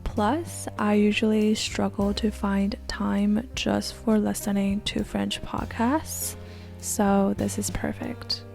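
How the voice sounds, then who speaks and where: Soft-spoken woman in her twenties, gravelly. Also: mid-range voice, level tone, slow, faint music behind. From Canada. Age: 20s. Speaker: language learning vlogger. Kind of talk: scripted voice-over narration.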